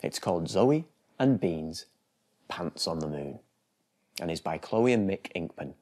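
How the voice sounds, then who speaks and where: British guy doing bedtime stories for little kids, slow and gentle, kinda husky. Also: low-pitched, expressive. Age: 30s. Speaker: children's bedtime story reader. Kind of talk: expressive scripted storytelling for young children. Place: TV studio set.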